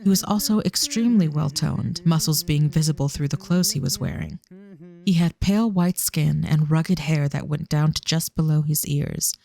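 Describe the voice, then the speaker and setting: A man's voice: a little high, moderate pace, vocal fry, dark tone, no echo. A man reading scripted narration for an audio drama as its storyteller.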